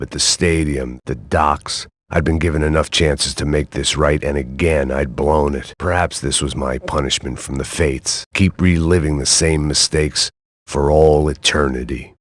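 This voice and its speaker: A burnt-out, self-loathing ex-cop mutters his hardboiled video game thoughts in a deadpan, sardonic drawl. His voice sits extremely low, and the recording is close and dry with no room sound. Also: no room sound. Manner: sardonic, deadpan noir narration. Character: world-weary middle-aged male ex-cop, bitter, self-loathing. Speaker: game protagonist.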